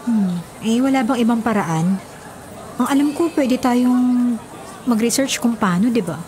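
A woman's voice: a little high, a little slow, dark tone, some chatter behind, slight background noise, close to an everyday voice. A Filipina actress in her twenties playing a hesitant, worrying student in a radio drama. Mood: doubtful, worried. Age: 20s. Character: hesitant, worrying young female student cousin. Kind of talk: Tagalog radio drama acting. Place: small padded radio studio. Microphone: studio microphone on boom arm.

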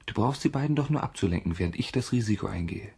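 An actor playing a cocky, mischievous, persuasive young student with a husky voice in a radio play. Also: male voice, fairly low pitch, animated, fast, a little mellow, heavily acted. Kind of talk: radio drama acting.

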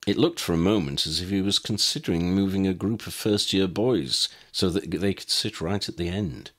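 A man narrates an audiobook story. His voice is low and gravelly at the edges, with lively rises and falls through the scripted prose.

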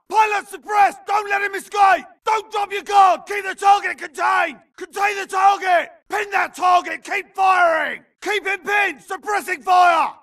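An actor playing an urgent infantry grunt in a video game, barking clipped combat callouts. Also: male voice, very high voice, a bit bright, distant mic. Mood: commanding, urgent. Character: gruff, urgent infantry grunt soldier in battle. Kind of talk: shouted combat barks.

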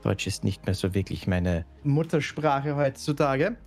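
Guy playing diplomatic fantasy lord, formal, kinda halting, faint music. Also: medium pitch, lively intonation, a little mellow, voice pushed up. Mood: conciliatory. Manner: formal, hesitant, conciliatory. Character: diplomatic lord, royal master of law.

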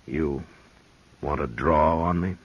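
A calm, commanding frontier marshal. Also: male voice, very deep voice, slow, slightly rough, dull audio, exaggerated voice. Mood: firm. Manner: steady, commanding. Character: calm, firm frontier marshal, grown man. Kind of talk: 1950s radio drama acting.

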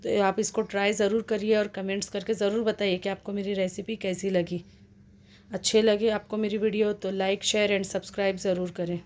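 A woman's voice: mid-range voice, little pitch movement, normal speed, a little mellow. A woman with an Indian accent giving a casual, step-by-step recipe voice-over in a plain, instructional, repetitive way. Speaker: home cook and vlog host.

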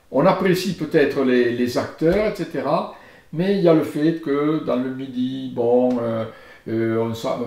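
An older man with a southern French accent, an archaeologist speaking thoughtfully in a filmed interview in his book-lined study, gesturing and pausing with hesitations. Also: medium pitch, darkish tone. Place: study lined with bookshelves, indoors. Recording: roomy study sound.

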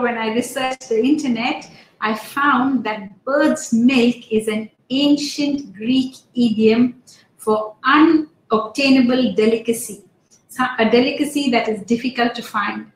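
A middle-aged woman hosting a webinar over a video call, speaking in a measured, polite and formal way. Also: on the high side, reverberant, poor audio. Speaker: webinar host. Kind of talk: closing remarks. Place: home study with bookshelves.

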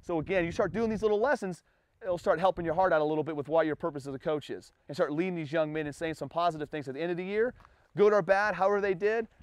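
Fast-talking, high-voiced American man. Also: clear, resonant tone, dry recording. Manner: informal, earnest, colloquial. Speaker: youth baseball coach and clinic speaker. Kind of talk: motivational coaching talk to a group. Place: outdoors on a baseball field. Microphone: lapel mic.

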